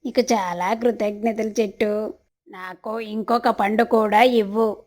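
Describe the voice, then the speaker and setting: A woman's voice: medium pitch, steady tone, a little slow, a little mellow, close to an everyday voice. A woman voicing a lazy, scheming crow husband in a children's cartoon, with a melodramatic, whiny manner. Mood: pleased. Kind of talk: children's cartoon story voice acting.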